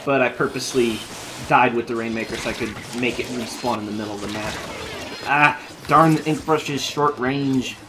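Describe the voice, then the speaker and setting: A man live-streaming a video game, chatting casually with viewers while he plays, his voice going hoarse from a long session. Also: medium pitch, fairly even intonation, normal speed, background noise. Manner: chatty, thinking aloud while playing. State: losing his voice.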